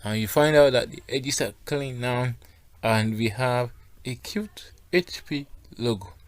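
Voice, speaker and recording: A man giving a step-by-step software tutorial as a design instructor, talking instructionally and often checking that learners are following along. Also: mid-range voice, a little slow, no room sound.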